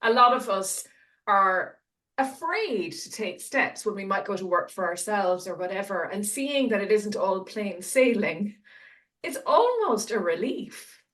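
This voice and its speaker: A woman's voice: medium pitch, big pitch swings, measured pace, fairly bright tone. A woman with an Irish accent hosting a podcast interview, opening with a read introduction and then chatting with her guest. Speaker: podcast host.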